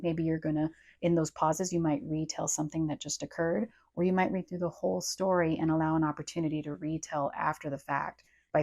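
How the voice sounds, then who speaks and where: A woman's voice: low voice, gravelly, mellow. A woman giving informal instructional tips in a conversational, explanatory way as a presenter. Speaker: presenter of language tips for parents.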